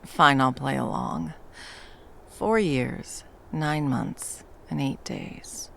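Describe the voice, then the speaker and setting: A woman's voice: a bass voice, drawn-out words, vocal fry, slight background noise, full character voice. A woman voicing a centuries-old female monster hunter in an ASMR roleplay. She talks bluntly and swears a lot, then drops into tender murmurs. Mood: soft, tender.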